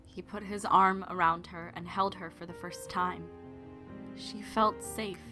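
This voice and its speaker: A young woman narrating a scripted audio drama in a measured storytelling way with wry asides. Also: fairly low pitch, fairly even intonation, bright, forceful tone. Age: young adult. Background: clear music.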